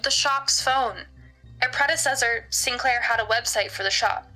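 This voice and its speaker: A woman plays a pragmatic occult researcher in an audio drama. Her voice is bright and fairly high, with a measured, unflappable delivery. Soft music runs behind her. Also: low-quality recording. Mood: firm, pragmatic. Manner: measured, deliberate, matter-of-fact. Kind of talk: naturalistic scripted audio drama.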